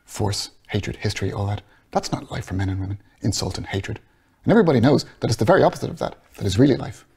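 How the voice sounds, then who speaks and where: A man's voice: fairly low pitch, expressive, quick pace, darkish tone, husky, exaggerated voice. A man reading aloud and voicing an earnest, anxious middle-aged man who pleads with rising passion. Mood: pleading. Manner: impassioned, rising to pleas.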